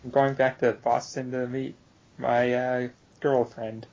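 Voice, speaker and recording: A man playing an evasive young man who feigns innocence, answering in a dry, deadpan way on a dull mic. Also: medium pitch, slow pace.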